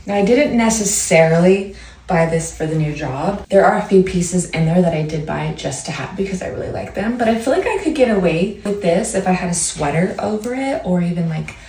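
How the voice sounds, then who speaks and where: A young woman with a hoarse voice from a cold, chatting upbeat to viewers in a casual try-on haul. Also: on the low side, lively intonation, fairly quick, a little mellow. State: hoarse from a cold, partly lost voice. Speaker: vlogger doing a clothing try-on. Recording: echoey room, far from the mic, faint background hum.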